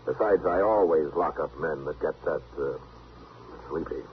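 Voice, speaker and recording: Deep-voiced, steady western marshal. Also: male voice. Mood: dryly amused. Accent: American. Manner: measured, dry, unhurried. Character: steady, wry adult male frontier town marshal. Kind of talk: old-time radio western acting. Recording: tinny, hissy old radio recording.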